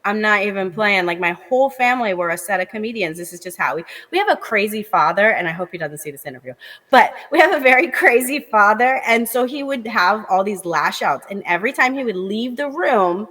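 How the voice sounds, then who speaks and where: An American woman comedian, a guest on a talk show, chatting in a lively, candid way with quick asides. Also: mid-range voice, long reverb tail. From United States. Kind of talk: casual interview chat.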